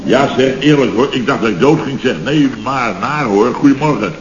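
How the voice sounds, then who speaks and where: A man's voice: medium pitch, moderate pace, lightly acted. An actor playing a self-important, muddled male contractor boss in a vintage radio comedy, blustering and stammering as he repeats himself. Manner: pompous, repetitive, stammering bluster. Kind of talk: 1970s radio comedy acting. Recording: roomy, muffled, noisy old radio recording.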